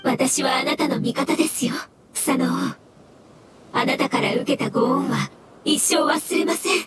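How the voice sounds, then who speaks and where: Woman as a high, meek elemental creature. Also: normal speed, natural voice. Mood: grateful. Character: meek, polite elemental jellyfish creature, sorrowful and loyal. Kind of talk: Japanese anime game voice acting. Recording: loud music behind the voice.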